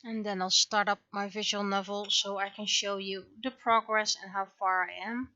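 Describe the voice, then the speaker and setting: A woman's voice: mid-range voice, flat delivery, normal speed, sharp tone, dry recording, dull audio. A woman giving casual livestream commentary as she shows her own creative work. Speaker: livestreamer, webcomic and visual novel creator.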